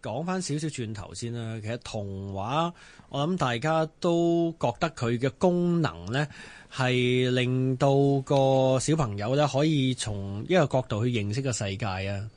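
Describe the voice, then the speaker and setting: A male host with a Hong Kong Cantonese accent steers a casual talk and puts questions to his panel. His mid-pitched voice is recorded very dry. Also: measured pace, darkish tone, dry recording. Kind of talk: casual moderating, posing questions.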